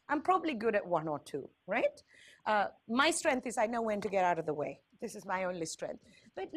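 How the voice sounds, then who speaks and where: A woman with a Sri Lankan accent presenting at a conference, candid and wry, stressing points with repetition and checking in with short tag questions. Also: fairly high pitch. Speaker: health agency speaker, risk communication expert.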